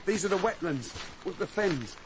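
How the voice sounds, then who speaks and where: An actor playing a brisk, commanding young man on the run in a radio drama. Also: high-pitched, expressive, noisy background. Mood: urgent, eager.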